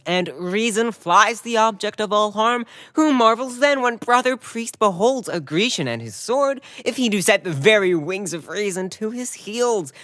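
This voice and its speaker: A woman's voice: on the low side, fairly bright tone, no room sound, clean studio sound. A woman playing a proud, scornful young prince in a Shakespearean audio drama, impassioned and rhetorical.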